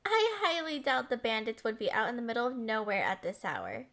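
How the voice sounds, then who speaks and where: A woman's voice: a little high, sing-song, brisk, fairly bright tone. A woman playing a smitten, possessive young princess in a romantic roleplay audio, coy, entitled and playfully defensive. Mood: tender, smitten.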